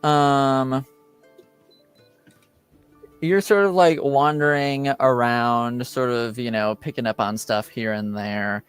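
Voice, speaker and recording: A man's voice: mid-range voice, fairly even intonation, slow pace, pauses often, smooth, faint music behind. A man acting as game master, narrating a tabletop roleplaying game in an informal, improvised way.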